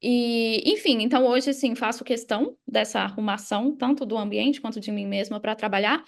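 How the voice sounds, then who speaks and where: A young Brazilian woman speaks in a high voice with noticeable vocal fry. She talks like a podcast guest sharing motivational advice, casual, conversational and emphatic. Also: a little fast. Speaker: podcast guest, digital marketing mentor. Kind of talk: casual motivational interview talk.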